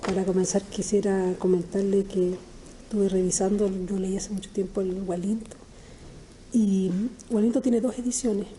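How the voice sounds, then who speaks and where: A woman interviewer asking formal, polite questions in a measured way. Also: medium pitch, steady tone, dark tone, slight background noise, poor audio.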